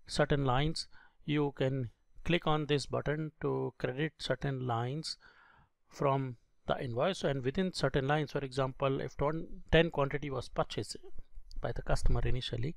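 A man giving a step-by-step software tutorial as an instructor, speaking in a halting, explanatory way into a close microphone. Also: mid-range voice, fairly even intonation, gravelly, no echo. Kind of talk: screen-recorded software tutorial.

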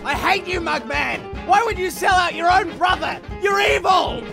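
A man voicing a bitter, short-tempered cartoon cup boy in a comic dub, sarcastic and quick to exclaim. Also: very high voice, vocal fry. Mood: angry. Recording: background music under the voice.

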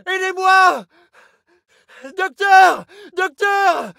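An actor playing an urgent, battle-hardened soldier in a video game, barking clipped military callouts with emphasis. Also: male voice, very high voice, drawn-out words, gravelly, sharp tone, exaggerated voice. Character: urgent, battle-hardened French colonial infantry soldier and tank crewman.

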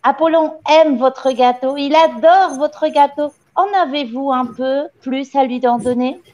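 A woman's voice: high-pitched, animated, a little slow, warm tone, lo-fi sound, a little hiss or hum, pitched above the performer's own voice. A woman reading a story as a domineering headmistress, mock-polite and commanding with a menacing false sweetness. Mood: loud, gloating.

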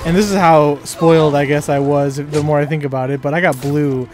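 A man's voice: on the high side, fairly even intonation, a little fast. A man chatting casually and jokingly as he plays a video game, rambling along. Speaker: gamer. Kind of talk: casual co-op gaming chat. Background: game music.

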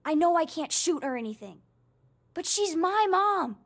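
A woman's voice: very high-pitched, big pitch swings, normal speed, a bit bright, full character voice. A woman voicing a plucky, stubborn young daughter in a video game, speaking in an earnest, insistent way. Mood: determined, pleading. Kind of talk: video game dialogue acting.

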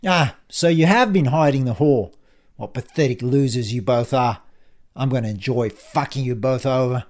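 A man voicing a menacing, foul-mouthed male bully in an audiobook, sneering and contemptuous, with hissed threats and loud bursts. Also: medium pitch, normal speed, lightly acted. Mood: sneering contempt. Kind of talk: audiobook character dialogue.

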